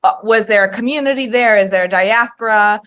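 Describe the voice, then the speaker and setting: A woman's voice: medium pitch, a little fast, fairly bright tone. A woman moderating a webinar over a video call, asking questions with hesitant fillers. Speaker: host.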